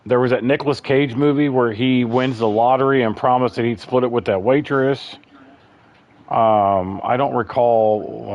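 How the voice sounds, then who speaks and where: A man with a bassy voice hosting a gaming livestream, chatting casually and rambling through stories. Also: a little mellow, muffled recording. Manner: rambling, chatty storyteller, calls people bro. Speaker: livestream host. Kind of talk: casual chat.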